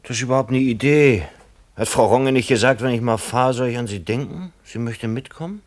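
A man's voice: medium pitch, fairly quick, slight background noise, lightly acted. A man acting in a German radio play as a stubborn, sardonic village filling-station man with a curt, dry manner. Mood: wry, musing.

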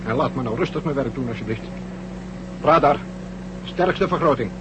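A man playing a brisk, authoritative spaceship commander under pressure in a radio drama, speaking tersely. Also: medium pitch, expressive, muffled recording, natural voice. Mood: curt, impatient. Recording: old radio play, heavy engine noise.

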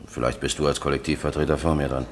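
An actor playing a blunt, impatient defender in a German radio crime drama, with a gravelly voice. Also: male voice, extremely low pitch, fairly even intonation, fast. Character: blunt, impatient older-style defender, exasperated with his workmates.

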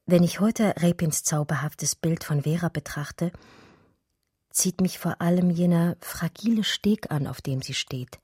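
A woman's voice: deep voice, slightly rough, no room sound, studio quality, heavily acted. An actress playing a pensive, grieving female art historian in an intimate, reflective radio play monologue. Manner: reflective, lyrical, hushed. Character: Natascha, pensive art historian grieving her lost lover.

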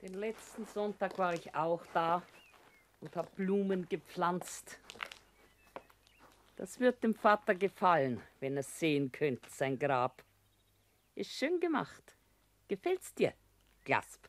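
An actress playing a homely Bavarian small-town widow who talks plainly in a radio drama. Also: female voice, lowish voice, very animated, takes it slowly, long pauses, slight background noise. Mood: plain, wistful.

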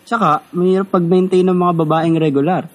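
An actor playing a stern, dismissive factory supervisor with a blunt, scolding way of talking in a radio drama. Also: male voice, sits high, steady tone, a little fast, close to an everyday voice.

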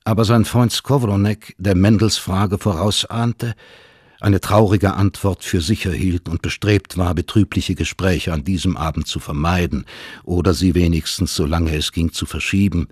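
Slightly husky male literary narrator, close and dry. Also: sits low, fairly even intonation, normal speed, darkish tone, no echo.

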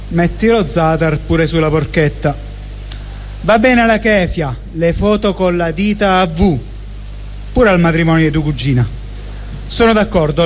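A man reading a satirical monologue live on stage. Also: high-pitched. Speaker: stage performer. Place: indoor stage at a live event. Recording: reverberant hall, faint noise.

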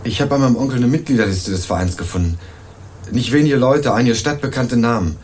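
An actor playing an earnest young male student investigator in a radio crime drama, narrating and questioning in a measured, dry way. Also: medium pitch, a little mellow, slightly rough, natural voice. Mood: earnest, probing. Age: young adult. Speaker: narrator and lead character.

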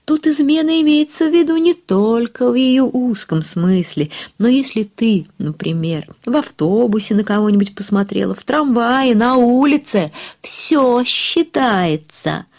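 A woman's voice: fairly high pitch, lively intonation, a little slow, darkish tone. A woman voicing a man who plays the homegrown prophet, teasing his friends in a mock-solemn, pronouncing way, in an audio story.

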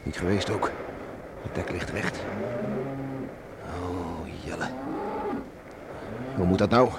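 An actor playing a gruff, stubborn middle-aged island beachcomber and father in a Dutch radio play, muttering to himself and calling out. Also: low voice, takes it slowly, heavily acted. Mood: brooding, muttering. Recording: noisy old radio play with storm ambience.

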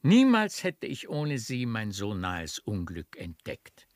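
An actor in a German radio play playing a grateful, effusive baron with a courtly, emphatic manner. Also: male voice, on the low side, wildly swooping pitch, slow pace, no room sound, full character voice.

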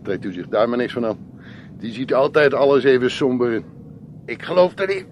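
An actor in a Dutch radio play playing a loyal, deferential chauffeur who chats plainly and searches for words. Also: male voice, medium pitch, very animated, brisk, muffled recording, slight background noise. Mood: chatty, cheerful.

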